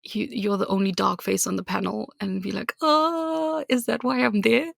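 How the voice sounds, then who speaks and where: A South African woman co-hosting a podcast, speaking candidly and laughing often. Her voice carries a gravelly fry. The sound is close and dry, with no room around it. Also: mid-range voice, fairly even intonation, normal speed, fairly bright tone, no room sound. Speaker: podcast co-host. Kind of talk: casual chat.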